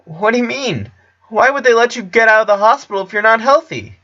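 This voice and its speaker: Guy doing a nervous little boy best friend for a story reading, really high strained voice, bright and all over the place. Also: lively intonation, a little fast, dull audio. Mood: confused. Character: anxious young boy, panicky loyal best friend.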